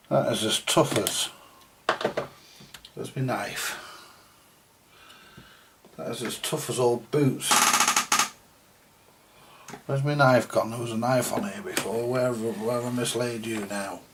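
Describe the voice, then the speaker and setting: Slow-talking British man muttering over repairs. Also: on the low side, heavy background noise. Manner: muttering to himself, colloquial, mildly grumbling. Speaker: vintage radio repairer presenting a video. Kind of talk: casual hands-on repair commentary, thinking aloud.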